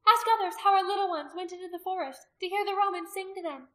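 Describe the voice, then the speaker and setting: Shrill, zealous pagan high priestess. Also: female voice, fast talker, bright, forceful tone, echoey room. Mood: venomous, accusing. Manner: shrill, imperious, accusing declamation. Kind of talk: dramatic audiobook character acting.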